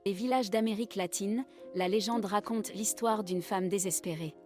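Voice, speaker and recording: A woman's voice: medium pitch, a little mellow. Solemn woman narrating a legend over music. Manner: measured, solemn storytelling. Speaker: narrator of a played clip. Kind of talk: scripted dramatic narration.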